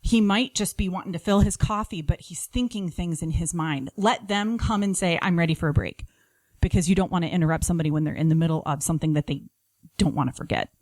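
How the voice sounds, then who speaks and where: A woman's voice: on the low side, fast, a little mellow, no room sound. A middle-aged woman giving practical, conversational advice in an instructive, emphatic, plain-spoken way. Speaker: podcast guest giving home-schooling tips.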